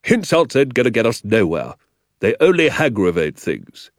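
Man doing a stern country police sergeant, officious, plain rural grammar, lively pitch, dry close sound. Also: no room sound, natural voice. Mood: placating. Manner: slow, officious, ungrammatical country speech.

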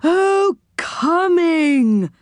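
A woman plays a peculiar old man from a children's storybook, hard of hearing and cheerfully muddled. The voice is high and slow, swinging widely in pitch. It comes across loud and puzzled, in a close, dry recording. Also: lively intonation, no echo, heavily acted. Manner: puzzled, loud, mishears and repeats words.

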